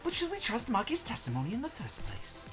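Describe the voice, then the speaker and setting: A woman's voice: on the high side, huge pitch swings, fairly quick, fairly bright tone, faint music behind. A woman voicing an insistent student debating in an anime game fan dub.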